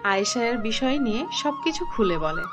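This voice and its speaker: High, lively woman storyteller. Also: moderate pace, music in the background. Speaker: story narrator. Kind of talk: scripted cartoon fairy-tale narration.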